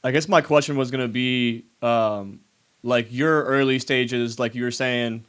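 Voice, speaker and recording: A man's voice: mid-range voice, steady tone, a little slow, fairly bright tone, clear, resonant tone. A young man co-hosting a podcast, asking loose, slangy questions in a casual way. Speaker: podcast co-host.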